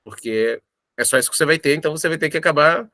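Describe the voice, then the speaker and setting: A Brazilian man explaining his craft in an unscripted interview, halting and repeating words for emphasis as he goes. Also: on the high side, pushed and bright, no room sound, clean studio sound. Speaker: audio drama writer.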